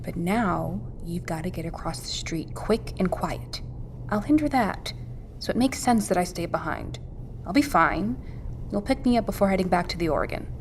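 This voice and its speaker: A woman's voice: lowish voice, expressive, normal speed, a little hiss or hum. An actress playing a resolute, practical, selfless woman in a naturalistic audio drama. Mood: reasoning.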